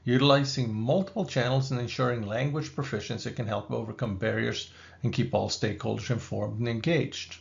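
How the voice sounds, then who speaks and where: Swedish guy lecturing over slides, gravelly voice, kinda muffled lo-fi sound. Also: medium pitch, fairly even intonation. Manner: measured, explanatory, with occasional asides. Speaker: business school professor.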